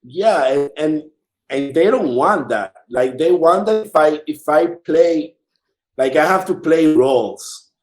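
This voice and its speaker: A man from the Dominican Republic with a Dominican Spanish accent, chatting casually as an interview guest, haltingly repeating words as he searches for them. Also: on the high side, animated, darkish tone, roomy sound. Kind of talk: casual conversation.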